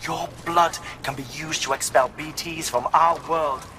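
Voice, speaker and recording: An actor playing an earnest scientist in a game cutscene, speaking in a formal, articulate, awed way. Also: male voice, a little high, steady tone, unhurried, sharp tone, slight background noise. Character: earnest male scientist marveling at a breakthrough. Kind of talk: dramatic video game cutscene acting.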